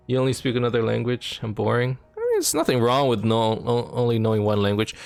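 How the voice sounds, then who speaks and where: A young man from Canada, streaming a game from home and chatting casually with his viewers in a rambling, filler-heavy way, his pitch jumping up when he gets animated. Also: gravelly, dry recording. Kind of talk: casual chat with live chat while gaming.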